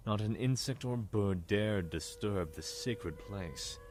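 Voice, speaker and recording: A man's voice: on the low side, takes it slowly, a little mellow, slight background noise. A man acting a wry, reflective Egyptian prince who narrates his past in an audio drama. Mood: ominous, hushed. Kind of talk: scripted first-person narration. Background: music.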